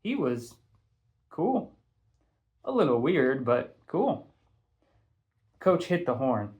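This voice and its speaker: A man narrating a first-person audiobook in a conversational, expressive storytelling manner. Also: medium pitch, takes it slowly, lo-fi sound. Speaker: teacher reading a novel aloud.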